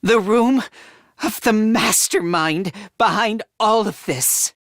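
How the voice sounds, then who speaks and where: A man voicing a timid, self-deprecating yet excitable teenage boy in a video game, with a hesitant, stammering delivery and theatrical anime-style acting. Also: high voice, slow pace, no room sound, clean studio sound. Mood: hesitant, earnest. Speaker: voice actor.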